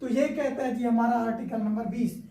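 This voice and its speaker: Woman, Pakistani accent, high pitch, mellow tone, teacherly lecture delivery, repetitive explanations, roomy echoing recording. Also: steady tone, a little fast. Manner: teacherly, repeats points and checks in often. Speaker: law lecturer. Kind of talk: informal explanatory lecture with worked examples. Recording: echoey, roomy sound.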